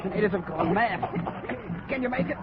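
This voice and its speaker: A man's voice: high-pitched, expressive, brisk. A man playing a steadfast, decisive plantation hero in 1940s radio drama, speaking earnestly. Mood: urgent. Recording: muffled old radio, clear hiss.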